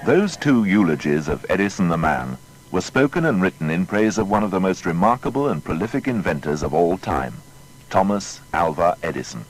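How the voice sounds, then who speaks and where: A man's voice: deep voice, rough recording. A man narrating a scripted biography in a formal, articulate documentary style.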